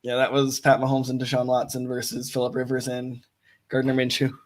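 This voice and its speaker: Slightly raspy young man, flat delivery. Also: medium pitch, brisk. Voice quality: a bit raspy. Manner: flat, matter-of-fact delivery with fillers. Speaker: co-host. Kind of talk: casual fantasy football chat.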